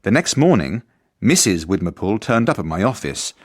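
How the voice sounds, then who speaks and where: An actor playing an earnest, polite, beleaguered headmaster in a radio drama, with a dry, formal, measured manner. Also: male voice, lowish voice, big pitch swings. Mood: weary, polite. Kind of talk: radio drama narration and dialogue.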